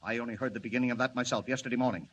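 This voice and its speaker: A harried newspaper chief from a 1940s radio serial, acted by a man. He barks bluntly in a hard, bright voice, with faint hiss on the old recording. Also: medium pitch, fairly even intonation, rapid-fire, dull audio, lightly acted. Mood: brusque, impatient. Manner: blunt, brusque, barking. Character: gruff, impatient, harried newspaper editor. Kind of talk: 1940s radio drama acting.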